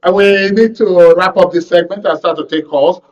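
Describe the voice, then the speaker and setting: A man's voice: sits high, fairly even intonation, normal speed, a little mellow. A man with a Nigerian accent presenting a live radio talk show as its host. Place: radio studio. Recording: distant, slightly muffled studio audio.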